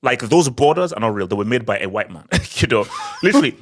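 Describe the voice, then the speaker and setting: A man from Nigeria co-hosting a football podcast, talking in an animated, emphatic way and often repeating words as he builds a point. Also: fairly low pitch, fast talker. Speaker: podcast co-host. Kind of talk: casual football discussion.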